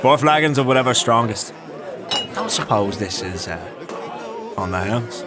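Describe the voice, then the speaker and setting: An actor playing a brash, sardonic, hard-drinking warrior in an audio drama, blunt, boisterous and sweary. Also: male voice, mid-range voice, expressive, normal speed, over loud music, a loud crowd, lightly acted. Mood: teasing, brash.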